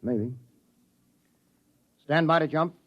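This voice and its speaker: An actor playing a terse, steady male spaceship captain in clipped, authoritative 1950s radio drama style. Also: a little high, slow pace. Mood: grave, then tense, commanding. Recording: dull vintage radio recording.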